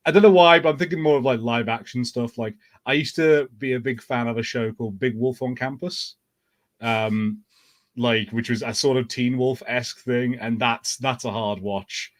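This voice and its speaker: Male podcast co-host, mid-pitched, relaxed conversational chat. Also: moderate pace.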